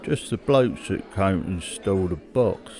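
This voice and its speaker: A man's voice: low-pitched, animated, measured pace, a little mellow. A man acting a middle-aged, seasoned criminal with a British accent, talking in a matter-of-fact, colloquial way in a drama. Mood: world-weary, casual. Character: seasoned, hard-drinking criminal old hand, casually cold. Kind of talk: naturalistic audio drama dialogue.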